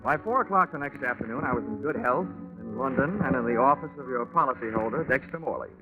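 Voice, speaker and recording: Male actor as wry American private investigator, medium pitch, sardonic radio-noir narration, dulled vintage recording. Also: fast talker, natural voice. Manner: wry, deadpan wisecracking. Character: wry, hard-boiled American insurance investigator narrating his case. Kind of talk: 1940s radio detective drama narration. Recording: muffled vintage radio recording, music behind.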